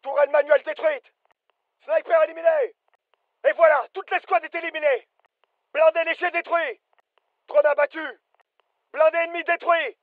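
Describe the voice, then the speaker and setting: A man's voice: very high-pitched, steady tone, normal speed, pauses often, dry recording, phone-quality sound. A male actor playing an alert, businesslike soldier giving clipped, urgent callouts in a military shooter game. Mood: focused. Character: British fireteam soldier, alert, businesslike adult man. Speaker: voice actor, French dub.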